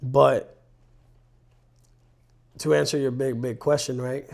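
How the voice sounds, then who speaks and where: A gravelly-voiced man, chatting casually as a podcast co-host. He tells stories in an animated, rambling way, often restarting his sentences. His voice sits at an average pitch with a rough, fry-like edge. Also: slow. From Colombia. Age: 30s. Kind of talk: casual chat.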